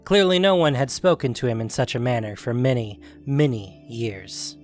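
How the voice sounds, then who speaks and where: A man's voice: mid-range voice, moderate pace, soft background music. A man narrating a scripted fantasy story as the narrator of an audio production.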